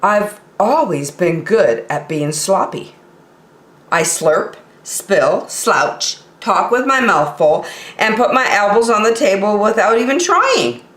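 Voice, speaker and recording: A woman's voice: mid-range voice, sing-song, slow pace, a bit bright, reverberant. A woman voicing a proud, jokey, sloppy young boy from a children's storybook in a playful, eager manner. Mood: boastful.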